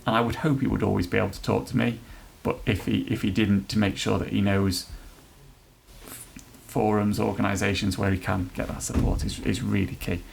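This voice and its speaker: Guy from an nhs trust talking inclusion on a podcast, earnest, stops and restarts a lot, super gravelly. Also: fairly low pitch, level tone, slight background noise, poor audio. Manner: earnest, halting, many restarts. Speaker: NHS trust leader chairing an inclusion council. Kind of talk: podcast interview answers.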